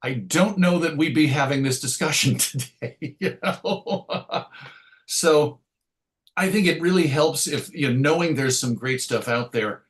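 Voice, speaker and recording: A man's voice: fairly high pitch, a little slow. A man speaking as an interview guest, conversational and thoughtful, illustrating his points with anecdotes and quoted asides. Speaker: interview guest, radio show host.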